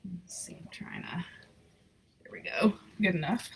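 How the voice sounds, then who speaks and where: A woman reading a picture book aloud in an expressive storytelling style, with casual, informal asides. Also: lowish voice, a little slow, creaky, in a whisper, poor audio. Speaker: reader of picture books aloud.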